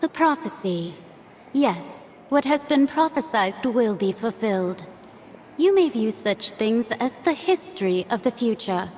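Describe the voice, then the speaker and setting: An actress voicing a mysterious, serene, all-knowing woman in a video game, measured and cryptic. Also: fairly high pitch, very animated, a little hiss or hum. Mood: cryptic, calm. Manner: measured, cryptic, gently teasing.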